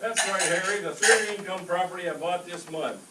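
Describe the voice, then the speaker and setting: A man acts out a smug, boastful businessman in a radio comedy. His voice is pitched high and comes from far off in an echoey, noisy room. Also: normal speed, close to an everyday voice. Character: boastful property-investing businessman. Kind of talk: old-time radio comedy acting. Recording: echoey distant stage, heavy background noise.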